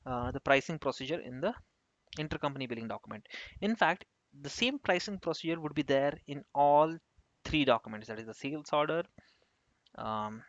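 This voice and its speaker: A man giving an unscripted software tutorial, explaining step by step in halting phrases as an instructor. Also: fairly high pitch, no echo. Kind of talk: screen-share tutorial narration, unscripted. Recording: dull, lo-fi close microphone.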